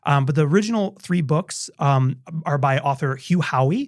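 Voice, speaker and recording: Slightly high-voiced man in casual chat. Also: expressive, moderate pace, dry recording, clean studio sound. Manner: laid-back, informal, talking in loose stop-start phrases. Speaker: podcast co-host; university faculty member.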